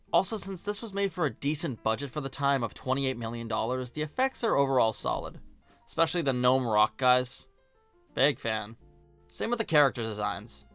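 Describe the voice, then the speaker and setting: Man, mid-range voice, video essay narration, conversational, wry deadpan asides, light music underneath. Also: medium pitch. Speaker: film review video host.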